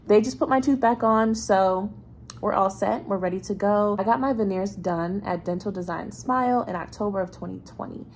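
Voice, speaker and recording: Young woman, chatty vlogger, mid-pitch voice, phone mic, faint room hum. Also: normal speed. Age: 20s. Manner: chatty, animated storytelling with laughs. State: congested. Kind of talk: casual vlog chat to camera. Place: bright apartment room with ceiling fan. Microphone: phone camera held low, close.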